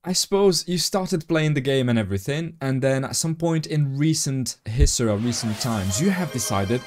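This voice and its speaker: A man hosting a podcast interview, enthusiastic and quick to laugh, from Morocco. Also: medium pitch, expressive, darkish tone, soft background music. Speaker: podcast host. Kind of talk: casual interview chat.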